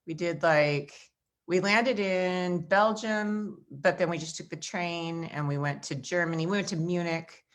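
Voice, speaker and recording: A low-pitched American woman in her forties hosts a casual chat over a video call. She rambles happily through her stories, padding them with fillers and restarts. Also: unhurried. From United States. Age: 40s. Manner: chatty, rambling storyteller with frequent fillers. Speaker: host.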